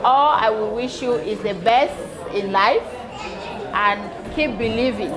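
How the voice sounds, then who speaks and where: A woman's voice: a little high, a little slow. A middle-aged woman giving a birthday toast at a party, telling a story and laughing often. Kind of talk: birthday speech. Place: party hall. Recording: loud crowd at a party.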